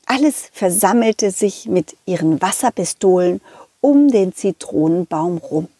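A woman's voice: fairly low pitch, animated, unhurried, mellow, slightly rough, no echo, clean studio sound. A woman narrating a children's story expressively as the storyteller. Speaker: storyteller and puppeteer.

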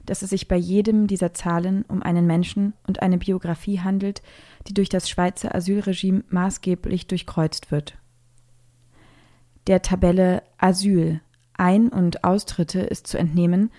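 A woman's voice: on the low side, dark tone. A woman presenting scripted news, reading it aloud.

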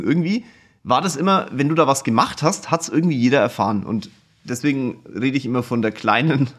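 A man, hosting his own podcast and telling his life story in a casual, frank, self-deprecating way from his office. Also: medium pitch. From Kulmbach, Upper Franconia, Germany. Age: 40s. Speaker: podcast host. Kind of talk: casual personal storytelling monologue.

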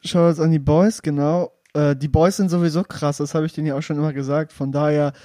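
A man's voice: on the high side, steady tone, a little fast, warm tone, no echo, studio quality. A young man in casual podcast banter, teasing and laughing. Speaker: podcast co-host. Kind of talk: casual chat.

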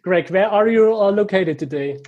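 German-accented male host, husky voice. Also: high-pitched, moderate pace, narrow, tinny audio. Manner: friendly, laughs easily, hesitant English. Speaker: podcast host. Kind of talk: casual interview hosting.

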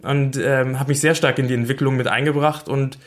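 A man's voice: medium pitch, fairly even intonation, brisk. A young man from Berlin talking casually about himself, with lots of hesitant fillers. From Berlin, Germany. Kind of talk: casual self-introduction.

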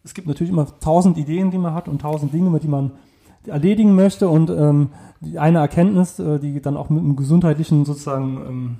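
A man's voice: a little high, fairly even intonation, brisk, gravelly, dark tone. A man in his forties from the Saarland, answering interview questions in a casual, hesitant way with many fillers and restarts. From Saarland, Germany. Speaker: entrepreneur, interview guest.

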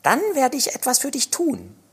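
A woman's voice: high-pitched, huge pitch swings, husky, voice pushed up, exaggerated voice. A woman doing a brash little robber girl. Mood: bossy. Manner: brash and teasing, quick bursts.

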